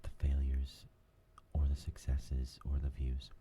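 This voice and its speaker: A man hosting a new ASMR gaming channel, talking in a soft whisper and rambling with lots of fillers. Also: very deep voice, completely flat delivery, unhurried, a little hiss or hum.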